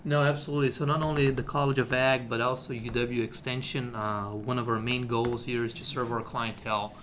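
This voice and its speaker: A man's voice: mid-range voice, flat delivery, a little fast, background noise. A man from Brazil, a university agronomy researcher explaining his work in a radio interview, with many fillers and "okay?" checks.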